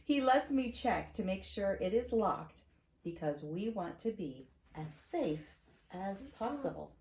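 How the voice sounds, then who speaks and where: A woman's voice: medium pitch, expressive, measured pace, darkish tone. A middle-aged woman reading a children's picture book aloud, encouraging, with chatty asides and questions to check understanding. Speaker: storyteller reading to grandchild. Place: wood-shelved home study, indoors. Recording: roomy echo, faint noise, clicks.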